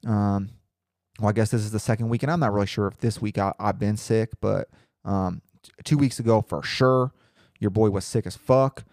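A man's voice: fairly low pitch, fairly even intonation, normal speed, a little mellow, no room sound, studio quality. A young man hosting his own podcast in casual, rambling, profane talk, a little congested and nasal from a cold. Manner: profane, rambling, laid-back. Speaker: podcast host.

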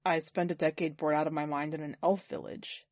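A woman voicing a gentle, soft-spoken, resigned man in a story narration. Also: deep voice, flat delivery, a little fast, no echo, pitched below the performer's own voice, close to an everyday voice. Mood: calm, assured. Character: gentle, resigned man volunteering for a lonely mission.

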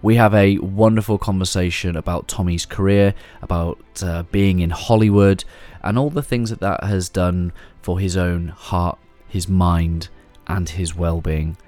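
A man with a deep, low voice hosting a podcast. He talks in an encouraging, easygoing way and slips in soft murmurs of agreement. Faint music plays under him. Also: steady tone, unhurried, few pauses. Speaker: podcast host. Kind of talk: scripted intro, then casual interview.

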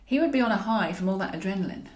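A woman reading a comic romantic novel aloud in an expressive storytelling style, as the author of the book. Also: mid-range voice, rapid-fire, reverberant. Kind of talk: expressive audiobook narration of comic romantic fiction.

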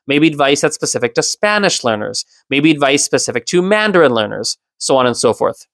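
A man in his early thirties talks through his own solo podcast, explaining his thoughts in a reflective, unhurried manner. His voice sits at an ordinary middle pitch. The recording is dry and close, with no room echo. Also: big pitch swings. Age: 30s. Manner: reflective, self-explaining, occasional soft laugh. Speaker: podcast host.

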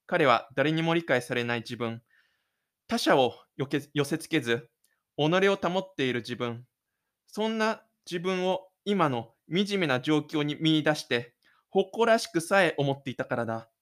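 A man's voice: fairly high pitch, expressive, unhurried, a bit bright. A man reading scripted literary narration for an audio drama.

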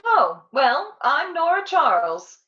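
An actress playing a detective's sharp-witted, teasing wife in a 1940s-style radio drama. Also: female voice, sits high, very animated, takes it slowly, full character voice. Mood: arch. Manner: arch, teasing. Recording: dull, distant old radio recording.

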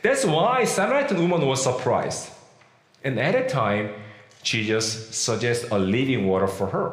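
A man's voice: mid-range voice, animated, moderate pace, reverberant. A man from Korea with a Korean accent, whose first language is Korean, preaching a sermon as a pastor and acting out the voices of the people he quotes. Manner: acts out quoted voices, leans on key words.

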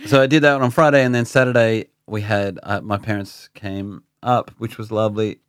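A man's voice: fairly low pitch, steady tone, normal speed, clean tone, no room sound, studio quality. A man with an Australian accent co-hosting a podcast in casual chat, joking and self-mocking. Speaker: podcast co-host.

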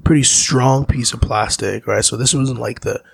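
A man's voice: medium pitch, steady tone, normal speed, vocal fry, a little hiss or hum. A man from Zambia, a musician being interviewed, who tells reflective stories in a rambling way. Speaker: musician guest. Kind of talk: casual interview talk.

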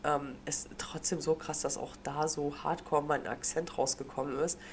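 A German woman with a notably low voice chats loosely on a podcast, full of fillers and casual slang. She sounds a little stuffed up and nasal from a cold, with faint room ambience behind her. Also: little pitch movement, moderate pace, slight background noise. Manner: chatty, lots of fillers and slang. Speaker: podcast co-host. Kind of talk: casual chat. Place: living in the United States.

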